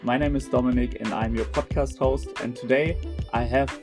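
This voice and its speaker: Man hosting an interview podcast, halting speech, faint music behind. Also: mid-range voice, steady tone. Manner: halting, many "uh" fillers. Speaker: podcast host. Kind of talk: casual interview chat.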